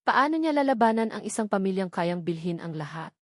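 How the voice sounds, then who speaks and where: A woman's voice: mid-range voice, expressive, brisk, no room sound. Woman narrating melodrama, dry close sound. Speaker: story narrator. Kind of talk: dramatic scripted narration of a love story.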